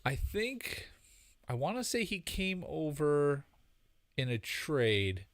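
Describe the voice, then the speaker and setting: A man's voice: fairly high pitch, very animated, takes it slowly, dry recording. A man co-hosting a casual podcast, who drops brief dry quips and recalls sports facts. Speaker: podcast co-host.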